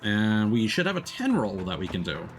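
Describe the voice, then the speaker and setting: A man's voice: fairly low pitch, sing-song, moderate pace, a little hiss or hum. A man giving casual live commentary on a video game, thinking aloud in a hesitant, rambling, self-deprecating way. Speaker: livestreamer playing a video game. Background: game music.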